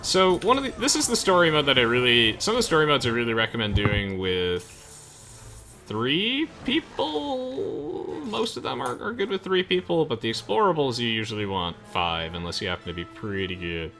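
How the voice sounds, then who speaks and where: A man's voice: medium pitch, sing-song, quick pace, hardly any pauses, sharp tone. A young man hosting a let's play, chatting casually with friends as he comments on the game. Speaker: host of a let's play video. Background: game music.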